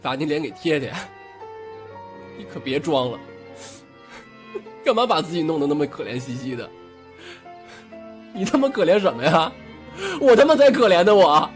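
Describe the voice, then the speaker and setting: A man's voice: on the high side, big pitch swings, moderate pace, pauses often. A man acting a foul-mouthed, hot-tempered younger brother in an audio drama, confrontational and emotionally raw. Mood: bitter, aggrieved. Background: background music.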